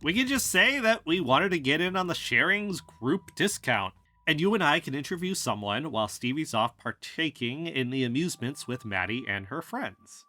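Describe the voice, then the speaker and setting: Casting: determined investigator male performer, fairly high, sharp-edged delivery, persuasive audio drama dialogue. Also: very animated. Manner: persuasive, deliberate. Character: Kyle, determined amateur investigator pitching plans.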